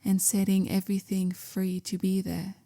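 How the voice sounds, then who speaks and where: Calm lady doing guided meditation, soft n soothing, pretty flat pitch, bit of vocal fry, whispery endings. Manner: gentle, calm, measured, softly spoken with breathy whispery endings. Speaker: meditation guide, yoga teacher.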